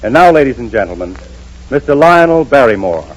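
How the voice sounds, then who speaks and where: A man's voice: mid-range voice, big pitch swings, fairly quick, heavy background noise. An American man announcing a sponsored 1930s radio program in a showy, formal way. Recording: vintage 1930s radio broadcast.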